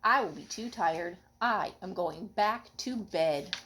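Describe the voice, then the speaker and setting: A woman's voice: fairly low pitch, animated, slow, fairly bright tone. A woman voicing a grumpy, lazy, sleepy male toad in a children's story, grumbling and reluctant. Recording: home recording with background noise.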